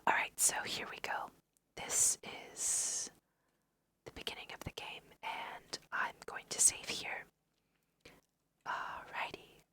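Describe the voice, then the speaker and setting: A woman's voice: slow, long pauses, sharp tone. Whispering woman, ASMR gamer. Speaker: host of a video game let's play. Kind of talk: ASMR, whispered gaming commentary and read-aloud dialogue.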